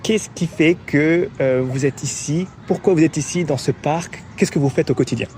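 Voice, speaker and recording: A man's voice: fairly high pitch, normal speed, a little mellow, slight background noise. Upbeat male podcast host. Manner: upbeat, friendly questioning. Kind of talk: street interview and narration. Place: outdoor city park.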